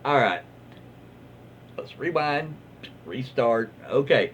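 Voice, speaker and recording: A man with a Southern US accent and a husky voice reading online posts aloud in a casual, comedic, easily amused way. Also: mid-range voice, animated, takes it slowly, fairly bright tone, lo-fi sound, a little hiss or hum.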